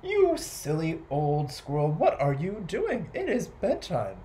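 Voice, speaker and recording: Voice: medium pitch, huge pitch swings, slow pace, mellow, slight background noise. A storyteller voicing a grumpy, sleepy female owl who scolds indignantly in a children's tale. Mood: indignant scolding.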